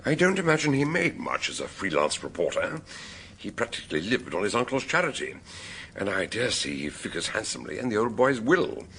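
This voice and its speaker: An actor playing a shrewd gentleman investigator in a radio drama, deliberate, wry and probing in manner. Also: on the low side, very animated, a little hiss or hum. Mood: emphatic. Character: shrewd, mannered gentleman sleuth questioning suspects.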